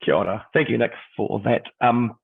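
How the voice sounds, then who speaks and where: A middle-aged New Zealand man with a croaky voice from illness, a history teacher giving a webinar presentation in a hesitant way, with many ums and restarts. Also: medium pitch, fairly even intonation, normal speed, no room sound. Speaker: history teacher and textbook author. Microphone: video call. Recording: dry, phone-like video call audio.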